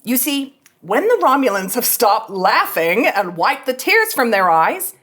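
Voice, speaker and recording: A woman's voice: on the high side, sing-song, measured pace, fairly bright tone, heavily acted. A woman playing a cocky, persuasive young female starship ensign in a theatrical, sardonic sci-fi script reading. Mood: smug, persuasive. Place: studio.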